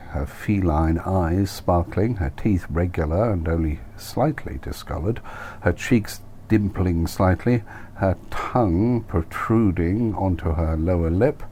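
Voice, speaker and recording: Deep, mellow male audiobook narrator, wry. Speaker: author reading his own novel. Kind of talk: scripted audiobook narration, wry first-person. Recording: clear steady background noise.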